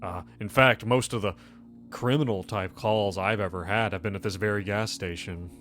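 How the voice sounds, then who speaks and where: A man's voice: fairly low pitch, normal speed, fairly bright tone, soft background music. A man speaking as game master, narrating a tabletop role-playing game in a casual, improvised way.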